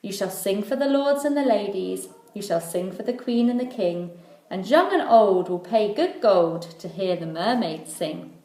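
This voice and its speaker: A woman's voice: mid-range voice, lively intonation, moderate pace, warm tone, close to an everyday voice. Woman reading as a sly circus boss, echoey room. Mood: coaxing, boastful. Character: sly, greedy male circus owner, boastful and unkind.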